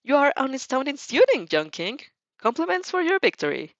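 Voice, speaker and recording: A proud, encouraging male mentor as performed by a woman for an anime fan story. He is hearty and a little formal, with animated pitch and a dry, dullish sound. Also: brisk, no echo, lo-fi sound.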